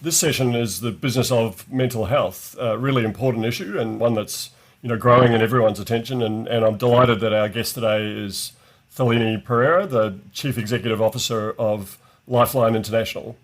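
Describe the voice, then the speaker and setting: Quick-talking man hosting a podcast. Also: mid-range voice, fairly even intonation. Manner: friendly, conversational, fluent. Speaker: podcast host. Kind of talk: interview hosting.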